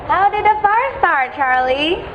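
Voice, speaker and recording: A woman's voice: very high-pitched, lively intonation, measured pace, pitched above the performer's own voice. A woman playing an outspoken young sister in an amateur radio play. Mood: teasing. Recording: muffled, clearly noisy amateur recording.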